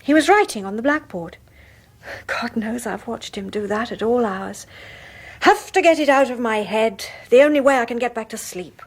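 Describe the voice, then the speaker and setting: Breathy, anxious young mother, high-voiced. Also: animated. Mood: haunted, uneasy. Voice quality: breathy, husky. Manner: hesitant, hushed, dramatic pauses. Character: anxious young mother, haunted and unsettled by her husband. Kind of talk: radio horror drama acting.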